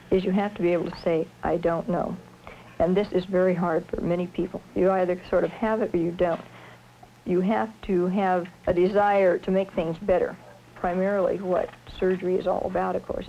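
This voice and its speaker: A woman surgeon speaking in a measured, articulate way in a reflective documentary interview. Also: fairly low pitch, flat delivery, vocal fry, dark tone, rough recording. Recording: 1970s film sound with faint noise.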